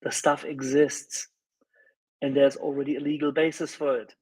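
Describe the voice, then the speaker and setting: Husky guy on a live audio panel, pitch a bit high, keeps hammering his point, no no no. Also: little pitch movement, normal speed, rough recording. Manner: insistent, repeats words for emphasis. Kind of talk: emphatic political argument.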